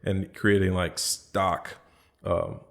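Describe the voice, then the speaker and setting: Podcast guest, man, American accent, very low voice, loose rambling chat full of fillers. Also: flat delivery, takes it slowly. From born in the Bahamas, raised in the US. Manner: easygoing, rambling, many fillers and restarts.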